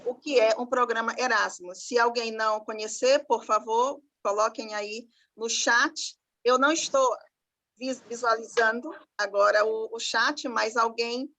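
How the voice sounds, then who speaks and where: A woman with a Northeastern Brazilian accent from Maranhão, giving an online talk as a professor and presenting a radio project, pausing often with fillers. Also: fairly high pitch, a bit bright. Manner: thoughtful, with frequent fillers and pauses. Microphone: video call.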